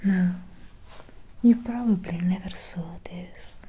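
A young woman playing a courteous, faintly menacing Victorian vampire doctor in a breathy whispered ASMR roleplay, speaking formally and deliberately. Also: fairly low pitch, dark tone, exaggerated voice. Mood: calm, faintly menacing. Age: young adult. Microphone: close sensitive microphone.

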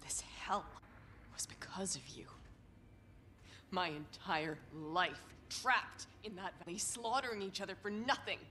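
A woman's voice: mid-range voice, measured pace, bright, forceful tone, a little hiss or hum. An actress playing an angry, embittered young woman, a blunt war survivor in a video game cutscene. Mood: bitter, accusing. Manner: blunt, accusing, sweary.

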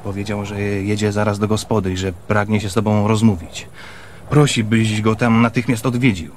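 Radio drama, male actor as earnest messenger, fairly low, explanatory, faintly noisy ambience. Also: steady tone, normal speed, close to an everyday voice. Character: earnest, eager male messenger making his report. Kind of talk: Polish radio theatre acting.

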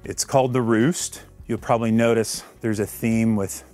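A man in his fifties from Illinois, giving a relaxed, technical tour of his basement recording studio. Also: on the low side, moderate pace, music in the background. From Illinois, USA.

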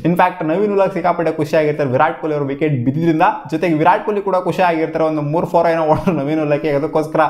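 A young man gives an excited, slangy cricket match breakdown from a small home studio, waving his hands as he talks. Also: a little high, very fast, few pauses, roomy sound. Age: young adult. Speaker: cricket YouTuber. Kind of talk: post-match analysis vlog. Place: small home studio with gaming chair and LED lights.

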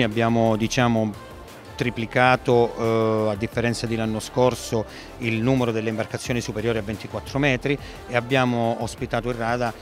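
A middle-aged man, a port director, answering interview questions at a boat show into a handheld mic, hesitating often with fillers and restarts. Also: mid-range voice. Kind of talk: trade fair interview.

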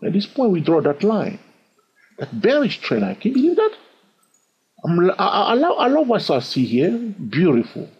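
A man with a husky voice walks through price charts in a screen-recorded trading lesson. His pitch swings widely as he asks rhetorical questions and chuckles. The recording sounds dull and lo-fi. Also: huge pitch swings, moderate pace. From lives in London. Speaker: trading educator. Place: at a computer, voice over screen.

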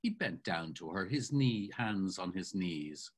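Older man narrating with expressive lilt. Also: sits low, a little slow, slightly rough. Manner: savoring, expressive storytelling. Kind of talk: dramatic literary reading. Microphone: video call webcam.

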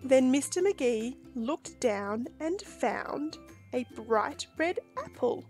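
A woman in her forties hosting a children's storytime, reading a picture book aloud with expressive, deliberate delivery. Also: high voice, faint music behind. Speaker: storytime host and reader.

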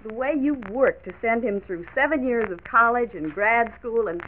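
A woman's voice: a little high, moderate pace, lightly acted. An American woman playing a friendly, solicitous doctor's wife in a 1940s radio drama. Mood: admiring, friendly. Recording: tinny, hissy 1940s radio transcription disc.

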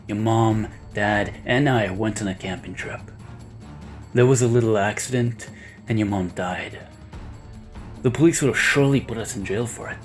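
A menacing uncle in an audio drama , played by a man. His voice sits on the low side and he speaks with calm, cold-blooded control. Faint music plays behind him. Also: close to an everyday voice.

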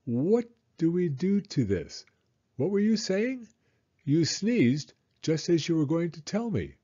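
A humble, dim and dreamy storybook teddy bear, put on by a man. The voice is slow and husky, lifted higher and full of earnest, plaintive swoops. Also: very animated, warm tone, dull audio, exaggerated voice. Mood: puzzled. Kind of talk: children's storybook character reading.

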